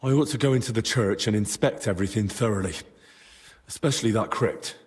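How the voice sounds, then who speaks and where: An actor playing an earnest, inquisitive young adventurer investigating in a medieval game, plain-spoken and probing. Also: male voice, on the low side, a little fast, a little mellow, natural voice. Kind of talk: dramatic video game cutscene acting.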